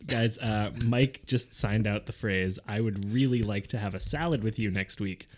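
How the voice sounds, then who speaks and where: A man hosting a podcast with a deep voice, telling absurd stories deadpan. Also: moderate pace. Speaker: podcast host. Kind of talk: casual comedic podcast banter.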